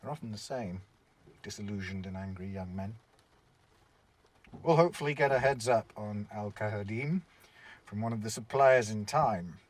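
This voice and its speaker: Man acting low-voiced, world-weary British surveillance officer. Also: lightly acted. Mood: explanatory. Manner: measured, wry, explanatory. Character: seasoned, world-weary male surveillance officer mentoring a colleague. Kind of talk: radio drama acting.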